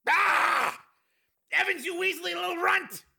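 A man voicing a big, hot-tempered working-class man in an audiobook, raspy and strained, blustering in coarse slang. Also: extremely high pitch, lively intonation, moderate pace, bright, forceful tone, pitched above the performer's own voice, heavily acted. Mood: enraged. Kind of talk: audiobook character acting.

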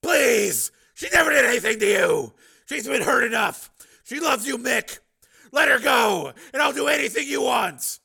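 A man playing a desperate, high-shouting cyborg father. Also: animated, moderate pace, creaky, slightly rough, heavily acted. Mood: desperate bargaining. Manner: urgent, shouting pleas and orders.

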